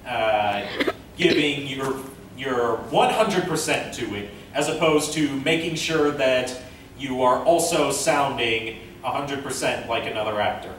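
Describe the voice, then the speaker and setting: A man presenting an informal convention panel, speaking haltingly as he thinks aloud. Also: medium pitch, fairly bright tone. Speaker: panel presenter, amateur director and voice actor. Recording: echoey room from far off, faint noise.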